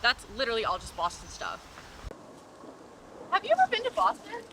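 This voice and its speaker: A skeptical young woman on a date played by an actress speaks high and with lively swings of pitch. She is casual and comic, easily exasperated, recorded in noisy surroundings. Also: brisk, full character voice. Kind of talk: acted comedy sketch dialogue. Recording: noisy outdoor location sound.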